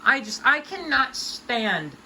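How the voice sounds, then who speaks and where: A woman's voice: high voice, sing-song, takes it slowly, heavy background noise. A woman of about twenty giving hobby advice in a casual, unscripted rant, with an emphatic, folksy, colloquial way of talking.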